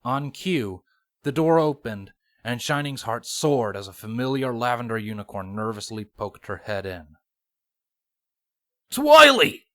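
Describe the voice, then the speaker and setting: A man's voice: mid-range voice, normal speed. A man reading scripted story narration as a storyteller. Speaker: narrator reading fan fiction. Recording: music playing behind the voice.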